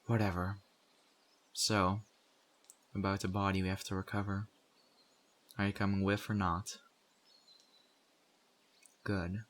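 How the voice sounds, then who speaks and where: A man playing a stern, principled and exasperated survivor in a zombie apocalypse audio roleplay, speaking earnestly and scoldingly with deliberate, pointed pauses. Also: low voice, fairly bright tone, full character voice. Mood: curt, resigned. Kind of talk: audio roleplay acting addressed to the listener.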